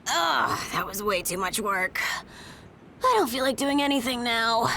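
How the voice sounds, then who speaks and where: Casting: easygoing, slightly lazy female soldier in an anime game; highish pitch, very animated, music underneath. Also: a little slow, exaggerated voice. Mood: weary, grudging. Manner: casual, nonchalant. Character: laid-back, lazy but caring senior woman soldier, anime. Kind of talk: English anime game voice acting. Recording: music behind the voice.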